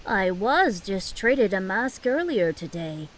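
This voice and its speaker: A woman's voice: medium pitch, very animated, slow pace, slight background noise, rough recording. An actress playing a polite, inquisitive older halfling merchant woman who muses as she talks, in a fantasy audio drama. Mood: businesslike.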